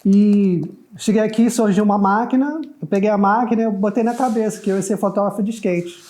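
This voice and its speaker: Middle-aged male guest from Canada, native English speaker, warm relaxed timbre, fairly high pitch, conversational. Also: fairly quick. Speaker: photographer guest. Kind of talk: casual interview chat.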